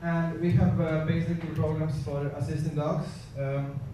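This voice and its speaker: A man from Croatia with a Croatian accent, speaking English as a second language and giving a conference presentation, halting often with fillers and restarts. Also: on the high side, flat delivery, warm tone, a little hiss or hum. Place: conference hall. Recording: echoey hall, voice far from mic.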